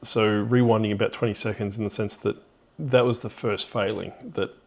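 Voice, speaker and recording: A man's voice: lowish voice, fairly even intonation, normal speed, vocal fry, a little mellow. A middle-aged man telling a personal story off the cuff in a reflective, hesitant way, with rueful chuckles here and there.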